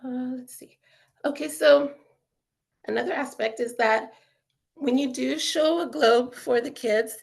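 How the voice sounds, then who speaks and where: Chatty woman with a gravelly voice. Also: sits high, measured pace, long pauses. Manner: chatty, lots of fillers like "you know" and "um"; enthusiastic about children's learning. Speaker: author and educator presenting a webinar. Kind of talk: casual webinar presentation.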